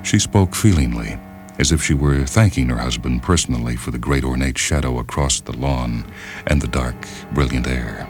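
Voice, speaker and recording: A man reading a literary short story as narrator, in a measured, grave and dramatic manner. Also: very deep voice. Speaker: narrator and reader. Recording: music behind the narration. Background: orchestral music.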